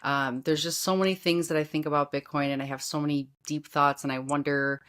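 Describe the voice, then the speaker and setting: A woman's voice: deep voice, little pitch movement, a little fast, a bit bright. A woman hosting a podcast in a casual interview chat, gushing and effusive as she talks. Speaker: podcast host.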